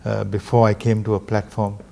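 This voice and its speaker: A middle-aged man from Singapore, a guest talking slowly and earnestly about struggle and faith. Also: lowish voice, flat delivery, creaky, mellow. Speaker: interview guest, businessman. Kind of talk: reflective spiritual conversation.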